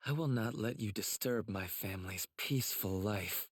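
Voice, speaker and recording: A man voicing a cold, menacing young demon in an anime game cutscene, speaking quietly and threateningly in long reverb. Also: lowish voice, moderate pace, breathy edge. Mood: cold menace.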